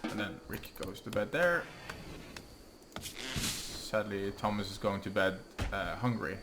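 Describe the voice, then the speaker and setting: A young man with a Norwegian accent, English not his first language, casually talking through his gameplay and thinking aloud with frequent ums and pauses. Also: fairly low pitch, music in the background. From Norway. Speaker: gaming channel host. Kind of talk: let's play commentary.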